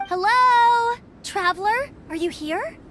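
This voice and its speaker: A woman's voice: very high-pitched, expressive, slow pace, heavy background noise, exaggerated voice. A woman playing a friendly, eager young female adventurer in a video game. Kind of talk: scripted video game dialogue.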